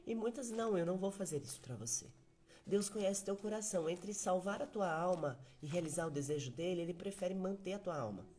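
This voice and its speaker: A woman's voice: lowish voice, expressive, a little fast, mellow. Earnest woman giving a devotional talk. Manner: earnest, addresses listeners directly with questions; repeats key phrases for emphasis. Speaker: devotional speaker.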